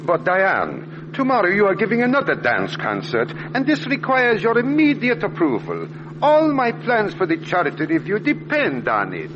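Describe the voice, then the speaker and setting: A man's voice: high voice, wildly swooping pitch, normal speed. Suave puppeteer showman, courteous, sinister undertone, theatrical, wildly varied melody, muffled old radio sound. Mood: eager, courtly. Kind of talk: old-time radio drama acting. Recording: noisy, muffled vintage radio recording.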